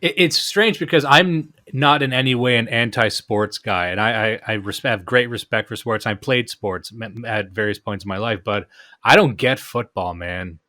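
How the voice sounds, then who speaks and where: A man hosts a podcast with a voice of ordinary pitch. The sound is dry and close, and he keeps up a steady run of dry jokes. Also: normal speed, no room sound. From Canada. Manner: joking, dry humor. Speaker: podcast host. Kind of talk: casual podcast conversation.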